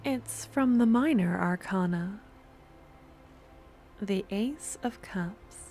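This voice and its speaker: Woman voicing a devoted stalker girl, sweetly menacing, soft breathy whispering, gravelly fry, unhurried cooing, ASMR roleplay, music in the background. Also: medium pitch. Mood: tender, curious. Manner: slow, cooing, gentle coaxing. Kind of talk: ASMR audio roleplay, close and intimate.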